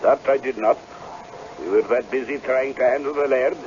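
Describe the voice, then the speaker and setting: An actor playing a blunt, loyal, fearful old Scottish castle servant in a radio drama. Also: male voice, mid-range voice, moderate pace, natural voice. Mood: gruff, matter-of-fact. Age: older. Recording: dull, noisy old radio recording.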